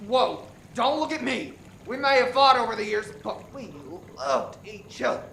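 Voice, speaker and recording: A bright, forceful, indignant husband played by an actor in a radio farce, quick to accuse and defend himself. The room rings around the voice, with faint noise. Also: mid-range voice, lively intonation, creaky, reverberant, low-quality recording. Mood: defensive. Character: touchy, accusing widowed husband named Nick, feuding and defensive. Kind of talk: farce radio play acting.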